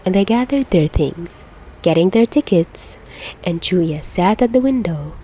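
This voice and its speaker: A woman's voice: fairly low pitch, lively intonation, unhurried, dark tone, slightly rough, low-quality recording. A woman reading a scripted story as a narrator, with music behind her. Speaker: fan fiction podfic reader.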